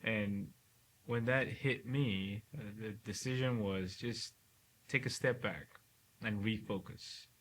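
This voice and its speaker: A middle-aged man from Cameroon, a neurosurgeon talking reflectively in an interview, often ending points with a quick "right?". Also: lowish voice. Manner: reflective, frequent "right?" tag questions. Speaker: neurosurgeon guest. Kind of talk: relaxed interview conversation. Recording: faint hiss.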